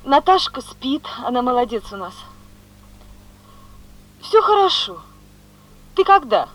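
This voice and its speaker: An actress playing a gentle wife and mother on the telephone, soft, tender and reassuring, in a 1970s Soviet radio play. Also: high voice, sing-song, slow pace, heavily acted, rough recording.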